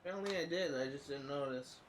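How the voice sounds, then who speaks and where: A man's voice: on the high side, fast talker. A man with a head cold, congested and scratchy-throated, chatting casually through his game stream with many uhs. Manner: rambling, with frequent uhs and coughs. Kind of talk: casual gameplay commentary.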